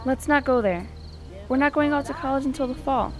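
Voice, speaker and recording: A woman's voice: high-pitched, brisk, natural voice. A woman playing a young woman in love in a radio play, tender and dramatic. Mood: tender, romantic. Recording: music behind the voice.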